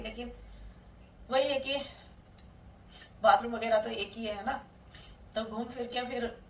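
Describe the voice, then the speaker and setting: A woman's voice: fairly high pitch, vocal fry, a little hiss or hum. A middle-aged woman with a sore throat and a cold, chatting homely to her viewers as friends in a casual home vlog. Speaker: vlogger. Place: at home, indoors. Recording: roomy sound from a distant mic.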